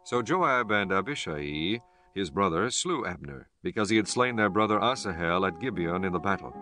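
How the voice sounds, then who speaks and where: A man's voice: low-pitched, lively intonation, normal speed, sharp tone. A man narrating a dramatized scripture audiobook in a scripted, formal reading style. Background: instrumental music.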